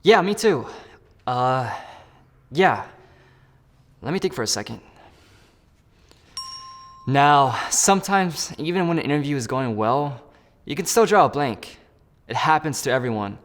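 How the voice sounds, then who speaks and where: A man's voice: fairly high pitch, big pitch swings, unhurried, long pauses, big-room sound. A man role-playing a job candidate in a phone interview and explaining each step to the viewer in an upbeat way. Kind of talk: scripted role-play with asides to the viewer.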